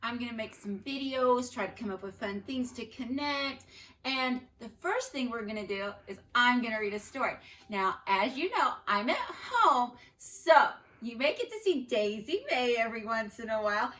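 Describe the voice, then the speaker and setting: Bright-voiced teacher reading a storybook aloud. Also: female voice, fairly high pitch, moderate pace. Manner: expressive reading with soft asides to children. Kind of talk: reading a picture book aloud to her class. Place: at home.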